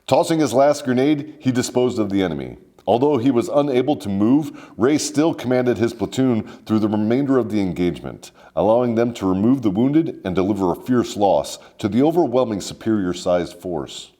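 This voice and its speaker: Low-voiced man telling sardonic war stories. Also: animated, normal speed, few pauses, dark tone. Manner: mock-formal, sardonic, salty military slang. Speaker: host and storyteller. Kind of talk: scripted comedic storytelling of military history.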